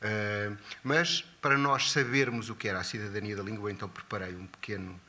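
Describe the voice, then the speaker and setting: A man with a European Portuguese accent giving a formal, partly read lecture, halting and repeating words as he goes. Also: on the low side, fairly even intonation, sharp tone, slight background noise. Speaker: guest lecturer. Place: lecture before an audience at an academy.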